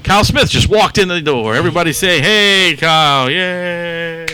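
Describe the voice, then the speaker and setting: A man's voice: fairly high pitch, normal speed, a crowd in the background. A man hosting a podcast in casual, profane banter, teasing the people he talks with. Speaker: podcast host.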